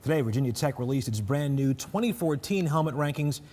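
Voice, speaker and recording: A man's voice: medium pitch, lively intonation, fairly quick, darkish tone. A man with an American accent anchoring a television news broadcast.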